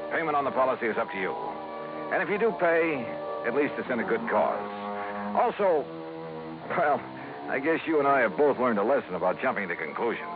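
An actor in a 1950s radio drama playing a tough, sardonic insurance investigator with a clipped delivery. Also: male voice, medium pitch, very animated, brisk, close to an everyday voice. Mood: wry, brisk. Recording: tinny 1950s radio recording with music.

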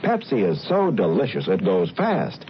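A man's voice: fairly low pitch, wildly swooping pitch, moderate pace, slight background noise. An American man announcing on 1950s radio, reading credits and sponsor lines in a polished, emphatic manner. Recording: dull vintage radio, loud music behind. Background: loud music.

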